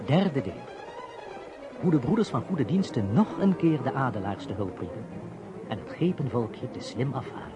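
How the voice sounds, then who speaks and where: A man's voice: medium pitch, lively intonation, moderate pace. A man announcing the title and part of a radio play in a scripted delivery. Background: music.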